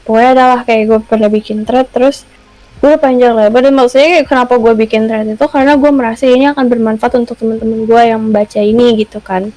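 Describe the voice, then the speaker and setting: A woman's voice: a little high, fairly even intonation, fast talker, mellow, soft background music, low-quality recording. A young woman with a Jakarta accent, chatting casually as a podcast co-host and mixing slang with English words. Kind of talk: casual chat.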